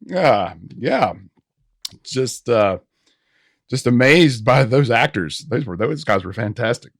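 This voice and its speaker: A man chatting casually as a podcast panelist, halting and repeating himself. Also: mid-range voice, animated, dry recording, clean studio sound. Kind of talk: casual chat.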